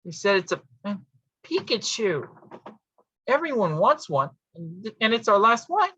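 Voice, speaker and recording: A man performing a comic storytelling monologue with a halting, theatrical delivery. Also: high-pitched, sing-song, pushed and bright, slight background noise. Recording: dull lo-fi sound.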